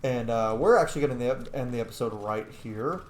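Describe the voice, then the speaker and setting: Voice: mid-range voice, lively intonation, fast talker. A gaming video host giving casual, opinionated let's-play commentary with geeky, rambling tangents. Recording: roomy echo, faint background noise.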